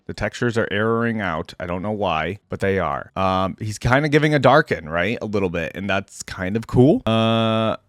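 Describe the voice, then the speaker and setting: A man's voice: lowish voice, animated, moderate pace, hardly any pauses, no room sound, studio quality, soft background music. A man giving casual, informal reaction commentary as a video creator, thinking aloud and picking at details.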